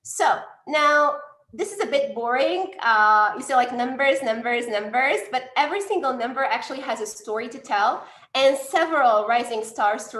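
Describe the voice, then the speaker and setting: A woman's voice: on the high side, fairly bright tone, roomy sound. A woman from Tunisia, a lab director, giving a conference talk with frequent fillers and restarts. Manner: hesitant, many fillers and restarts. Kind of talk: conference presentation.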